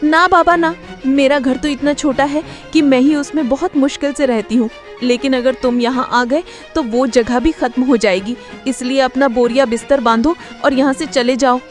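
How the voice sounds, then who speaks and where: A woman's voice: high-pitched, steady tone, normal speed, hardly any pauses, faint music behind, lightly acted. A woman voicing a sly, selfish female fox in a cartoon fable, with a sarcastic, complaining manner. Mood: dismissive. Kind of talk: Hindi cartoon fable dialogue.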